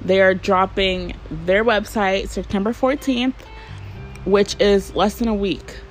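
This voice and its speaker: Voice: mid-range voice, steady tone, takes it slowly, soft background music, low-quality recording. A podcast host in a casual solo monologue, rambling and chatty, with lots of fillers and the odd swear word.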